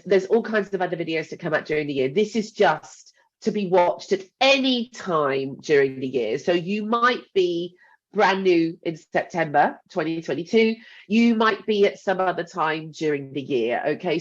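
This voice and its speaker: A woman's voice: lowish voice, lo-fi sound. A woman, a university mentoring lead, chats reassuringly through a recorded training talk with a congested, blocked-up voice. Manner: chatty, reassuring, with frequent ums and asides. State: congested from a cold. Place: home. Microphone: headset.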